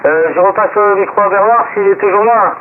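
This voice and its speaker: A man's voice: high voice, a little fast. Man over tinny, noisy CB radio. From Bordeaux area, France. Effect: two-way radio. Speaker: CB operator relaying the microphone. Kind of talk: casual radio contact chat. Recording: narrow, noisy CB radio transmission.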